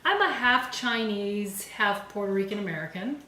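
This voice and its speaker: A woman's voice: mid-range voice, moderate pace. Distant woman in an echoey room. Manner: explanatory, lively asides. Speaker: co-host. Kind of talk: casual food chat.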